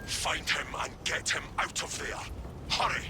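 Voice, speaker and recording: An actor playing a fierce, wrathful armored space marine in a video game, gravelly and intense. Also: male voice, a little slow, background noise, natural voice. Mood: urgent, angry. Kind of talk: video game cutscene acting. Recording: loud music behind the voice.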